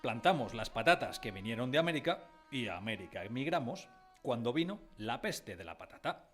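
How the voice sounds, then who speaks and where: A man playing a narrator who fondly recalls his village schooldays, in expressive scripted radio drama storytelling. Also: mid-range voice, fairly quick, soft background music. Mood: absorbed, wondering.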